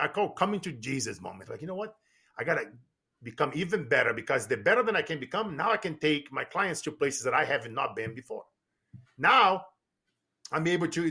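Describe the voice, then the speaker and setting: A middle-aged man from Brazil, guesting on a podcast as a business coach. His voice is bright and a little husky, with a forceful, tense edge. He talks emphatically and keeps restarting his sentences. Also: on the high side. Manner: animated, repetitive restarts, emphatic. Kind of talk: casual podcast conversation.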